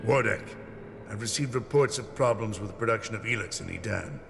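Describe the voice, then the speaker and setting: An actor playing a zealous, menacing enforcer in a video game, imperious, pious and measured in speech. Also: male voice, fairly low pitch, creaky. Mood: cold. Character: zealous, menacing male enforcer devoted to his master. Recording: echoey hall reverb, loud music behind.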